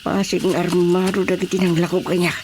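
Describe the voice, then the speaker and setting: A man's voice: sits high, flat delivery, a little fast, darkish tone, slight background noise. A man with an Ilocano accent playing a nervous, bumbling army sergeant in a comic radio drama, hesitant and exclaiming. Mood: scared, pleading. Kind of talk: radio drama comedy acting.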